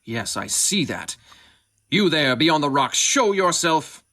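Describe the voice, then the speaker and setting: A man's voice: fairly high pitch, very animated, takes it slowly, pushed and bright, full character voice. Man playing an earnest, formal Martian king. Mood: solemn. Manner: formal, stately. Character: earnest, solemn, kindly but dim Martian king. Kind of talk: comic sci-fi radio play acting.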